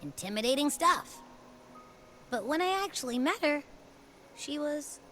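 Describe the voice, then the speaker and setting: A woman voicing a peppy, loyal young girl companion in a video game, with a casual, slangy, expressive way of talking. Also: high voice, unhurried, slight background noise. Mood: casual, reflective. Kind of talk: video game story dialogue.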